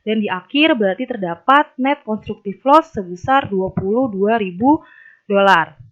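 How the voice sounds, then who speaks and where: Casting: young female tutor, medium pitch, explains step by step, slightly dull low-fidelity sound. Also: lively intonation, moderate pace, a bit bright. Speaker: accounting tutor. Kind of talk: instructional narration of a worked problem. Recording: dull, lo-fi voice recording.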